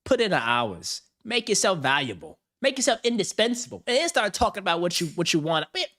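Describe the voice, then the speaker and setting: A man's voice: high voice, big pitch swings, brisk, sharp tone. A man hosting a livestream, reacting to a video with hyped, slang-heavy banter and frequent laughs. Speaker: streamer host. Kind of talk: casual livestream commentary.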